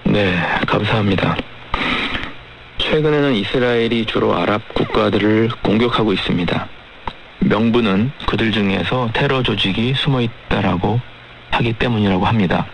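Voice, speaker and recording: Low, gravelly man on shortwave radio. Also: normal speed. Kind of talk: measured radio discussion. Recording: faintly noisy shortwave radio broadcast.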